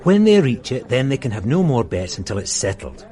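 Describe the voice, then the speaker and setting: A shrewd, dependable police sergeant played by a man in a radio drama. He lays out his reasoning in a calm, measured way. His voice moves with lively pitch. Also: natural voice.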